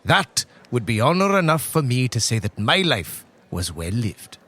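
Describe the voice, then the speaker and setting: Man playing a husky, tipsy priest, swooping pitch. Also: sing-song, moderate pace, a bit bright. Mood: tipsy, earnest. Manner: rambling, avuncular, punctuating with "eh" and "right".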